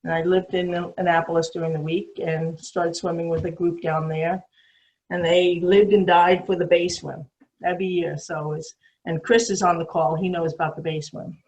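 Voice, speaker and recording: A woman's voice: lowish voice, flat delivery, moderate pace, darkish tone, dull audio. An older woman with a New York accent tells her story casually over a video call. She rambles and fills her sentences with ums and false starts. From New York City. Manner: rambling, many ums and restarts. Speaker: guest swimmer.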